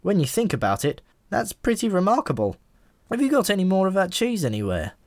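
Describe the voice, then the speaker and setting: A man's voice: high-pitched, huge pitch swings, fairly quick, no room sound, studio quality, pitched above the performer's own voice, full character voice. A man voicing a chatty, world-weary pigeon in an audiobook, talking in an offhand, frank and matter-of-fact way. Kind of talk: audiobook character voice.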